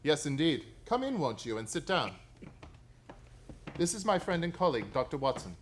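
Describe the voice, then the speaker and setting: An actor in a radio play playing a shrewd Victorian detective, brisk, precise and courteous with a superior air. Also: male voice, a little high, sing-song. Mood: genial.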